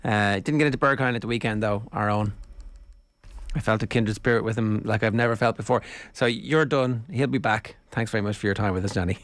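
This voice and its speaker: Fast-talking Irish sports radio host. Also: male voice, on the low side, a bit bright, dry recording. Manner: blunt, wry, wraps up segments briskly. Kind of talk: casual studio banter.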